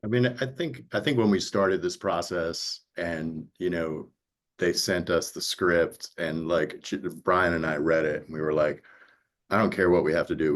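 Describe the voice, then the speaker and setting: Deep-voiced man explaining earnestly. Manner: earnest, explanatory, rhetorical check-ins. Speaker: audiobook producer. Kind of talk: conversational panel discussion. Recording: dull, lo-fi audio.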